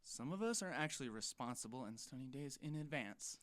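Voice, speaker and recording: A man's voice: mid-range voice, expressive, a little fast, a bit bright, natural voice. An actor playing an eager, teasing teenage boy with a dramatic, wry way of talking in an audio drama. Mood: playful pleading.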